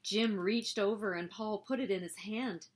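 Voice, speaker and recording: A woman hosting a story time, reading a picture book aloud in an expressive, animated way meant for young children. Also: mid-range voice, moderate pace, a little hiss or hum.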